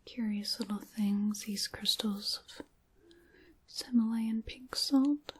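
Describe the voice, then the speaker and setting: Breathy, slow-whispering dark witch, played by a woman. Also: fairly high pitch, fairly even intonation, full character voice. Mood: hushed, savoring. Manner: slow, deliberate, hushed, theatrical. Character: sinister, seductive dark witch, fond of chaos. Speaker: ASMR performer. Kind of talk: ASMR whispering and soft-spoken roleplay. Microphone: close microphone.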